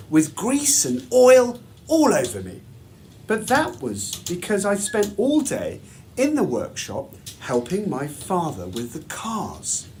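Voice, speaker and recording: A man reads a children's story aloud in a measured, deliberate, expressive way, like a headmaster at storytime. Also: on the high side, a little hiss or hum.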